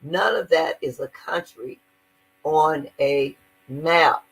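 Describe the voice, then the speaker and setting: A woman's voice: deep voice, slow pace, vocal fry, slightly rough, rough recording. An older woman speaking earnestly in a conversational interview, stressing her points and often repeating words. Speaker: guest activist.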